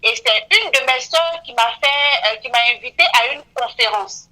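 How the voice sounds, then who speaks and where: Earnest woman, 40s, bright higher voice, radio guest who leans on fillers. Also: big pitch swings, moderate pace, creaky, poor audio. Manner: earnest, frequent "voilà" fillers. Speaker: beautician guest.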